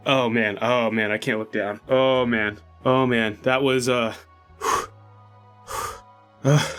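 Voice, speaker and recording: A man's voice: mid-range voice, fairly even intonation, takes it slowly, fairly bright tone, clean tone, faint music behind. Man playing a breathless, panicked, stammering adventurer. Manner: breathless, stammering, repeating himself. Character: panicked clawed male adventurer terrified of heights.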